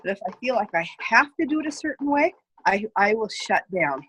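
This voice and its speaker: A woman taking part in a workshop, talking quickly and reflecting out loud about herself. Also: medium pitch, very animated, slight background noise. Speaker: workshop participant.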